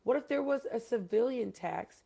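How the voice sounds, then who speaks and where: Woman host from Hawaii, gravelly voice, rambling emphatic talker, keeps quizzing her guests. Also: fairly high pitch, expressive, moderate pace, mellow. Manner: rambling, emphatic, asks listeners questions. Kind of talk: casual debate chat.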